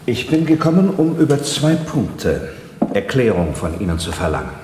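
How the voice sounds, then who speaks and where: A man's voice: mid-range voice, very animated, moderate pace, natural voice. An actor playing a probing, commanding nobleman with formal, theatrical speech in a German radio drama. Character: noble gentleman, probing, commanding, intrigued by mystery. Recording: echoey hall, faint background noise.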